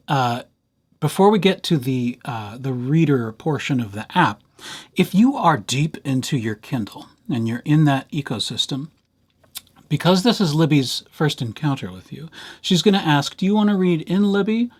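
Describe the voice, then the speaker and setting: Male instructor, somewhat high pitch, conversational, lightly playful, informal step-by-step software walkthrough. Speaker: library instructor. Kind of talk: informal screen-share software tutorial.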